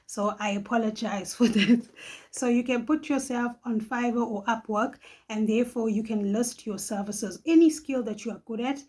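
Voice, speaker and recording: A middle-aged woman from Johannesburg with a South African accent, hosting a casual advice vlog, chatty and quick to laugh, often tagging her sentences with "okay?". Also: a little high, steady tone, darkish tone. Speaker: channel host.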